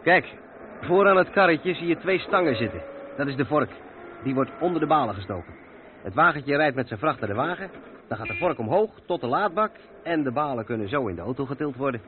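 Actor as seasoned trucker on tinny radio. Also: male voice, mid-range voice, lively intonation, a little fast, close to an everyday voice. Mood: patient, explaining. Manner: explanatory, matter-of-fact. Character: Dirk, seasoned male truck driver, patient explainer. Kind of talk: radio play dialogue. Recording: tinny, noisy old radio recording.

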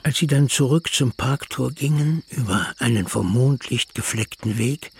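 Gravelly, husky male literary narrator. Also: mid-range voice. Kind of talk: scripted narration of a literary radio drama.

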